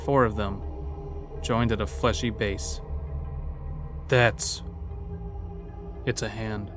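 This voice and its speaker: A man's voice: on the low side, fairly even intonation, slow. A man narrating a scripted first-person horror story in a dramatic storytelling manner. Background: background music.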